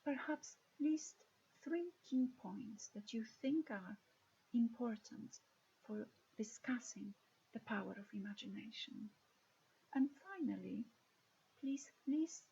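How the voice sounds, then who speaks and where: Polish accent woman giving a recorded lecture, slow careful talk, mellow tone, kinda dull audio. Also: mid-range voice, creaky, slightly rough. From Poland. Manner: measured, careful delivery with occasional restarts.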